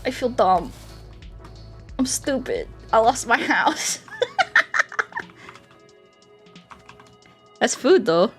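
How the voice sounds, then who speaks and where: A woman's voice: on the high side, very animated, extremely slow, long pauses. A woman chatting casually as she livestreams a game, playful and self-deprecating, speaking expressively to her viewers. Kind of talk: casual gameplay chat, reading out viewer comments. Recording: music playing behind the voice. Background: game music.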